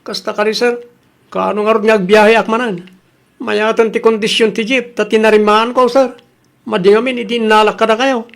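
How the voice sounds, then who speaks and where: A man's voice: sits high, level tone, moderate pace, vocal fry. A man with an Ilocano accent playing an earnest, worried jeepney driver who defers to his boss in a comedy radio drama. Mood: deferential, hopeful.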